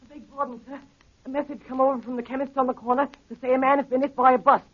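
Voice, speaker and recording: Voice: sits high, flat delivery, rapid-fire. An actor playing a polite, eager young servant boy in a 1930s radio drama. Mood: dutiful. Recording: dull vintage 1930s radio recording.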